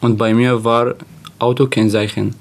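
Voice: mid-range voice, flat delivery, a little slow. A young speaker from Syria telling personal anecdotes casually as a youth radio participant.

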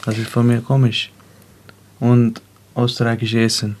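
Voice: medium pitch, monotone, a little slow, a little mellow. A nineteen-year-old from Afghanistan, a native Punjabi and Pashto speaker, talking casually about personal experiences. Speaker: youth radio participant.

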